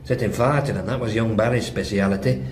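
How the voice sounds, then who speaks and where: A man acting in a radio drama as a gruff, seasoned police detective with a blunt, colloquial, clipped manner. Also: fairly low pitch, fast talker, darkish tone, natural voice. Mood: brisk, dry. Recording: roomy echo, faint noise.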